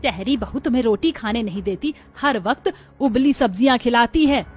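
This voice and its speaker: Lady doing gossipy cartoon village neighbour, high voice, meddling, music behind. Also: lively intonation, moderate pace, a little mellow, natural voice. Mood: gossipy, needling. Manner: meddling, warning.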